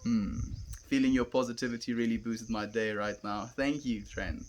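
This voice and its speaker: A young South African man chatting on a live stream outdoors, earnest and gentle, calling his viewers friend. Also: mid-range voice, steady tone, moderate pace. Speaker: livestreamer. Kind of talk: casual live stream chat reading comments. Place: outdoors, wind and cows nearby.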